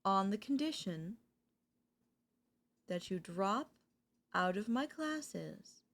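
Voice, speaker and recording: A woman voice actor playing an obsessive older female professor in an ASMR audio roleplay, coaxing and deliberate, with dramatic pauses. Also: medium pitch, sing-song, a bit bright, full character voice. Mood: coaxing, earnest.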